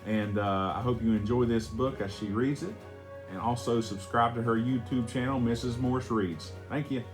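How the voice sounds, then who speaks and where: A man's voice: mid-range voice, fairly even intonation, moderate pace, music in the background. A man casually introducing a read-aloud video.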